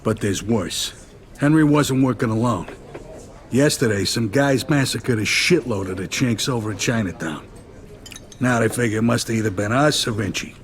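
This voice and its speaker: An actor playing a wary middle-aged mafia lieutenant with an American accent, grave and streetwise in a game cutscene. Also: male voice, mid-range voice, normal speed, slight background noise, close to an everyday voice. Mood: grave, probing. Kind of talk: dramatic video game cutscene acting.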